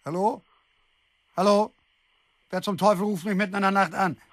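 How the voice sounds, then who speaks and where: An actor in a radio drama playing a gullible, stubborn husband who talks in an indignant, stammering way. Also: male voice, high-pitched, steady tone, normal speed, no room sound, clean studio sound, close to an everyday voice. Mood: wary. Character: agitated, gullible, stubborn husband caught in a money scam. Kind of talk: naturalistic radio drama acting.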